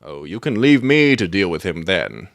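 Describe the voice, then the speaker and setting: A man playing a self-assured gentleman detective in a dramatic audiobook, theatrical and articulate as he expounds his deductions. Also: low-pitched, big pitch swings, normal speed, gravelly, dry recording, full character voice. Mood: confident, explaining.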